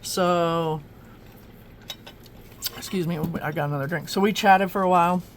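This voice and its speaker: A woman's voice: lowish voice, steady tone, a little slow, a little hiss or hum. A woman from Oklahoma chatting casually as she flips through her journal, rambling with lots of ums and restarts. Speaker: journaling vlogger.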